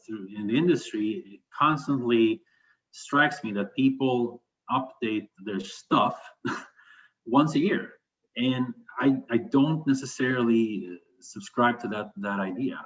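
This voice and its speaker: Low-voiced man, hesitant, in dull audio. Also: a bit bright. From Sweden. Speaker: interview guest, business continuity manager. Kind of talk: conversational interview answers. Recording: dull, lo-fi sound.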